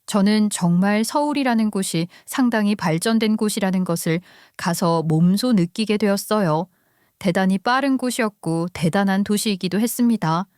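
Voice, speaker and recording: Woman narrator, mid-pitch, even scripted reading, dry close mic. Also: normal speed, dry recording. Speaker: story narrator. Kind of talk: scripted first-person story narration.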